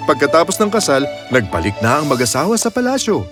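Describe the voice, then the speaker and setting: A man's voice: on the high side, very animated, fast talker, over music. A man narrating a scripted children's fairy tale with a dramatic storytelling delivery.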